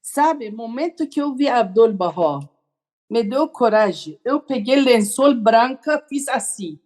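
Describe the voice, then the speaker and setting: A woman's voice: on the high side, animated, measured pace, darkish tone. Woman guest, earnest. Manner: earnest, simple broken phrasing. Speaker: podcast guest. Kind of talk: conversational storytelling.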